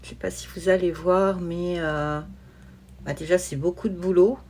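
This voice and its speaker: A woman's voice: lowish voice, steady tone, moderate pace, a little mellow. A woman chatting informally and easygoingly as she presents a hobby vlog from her desk. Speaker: hobby vlogger presenting her coloring projects. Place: at a desk at home. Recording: noisy home recording with clicks.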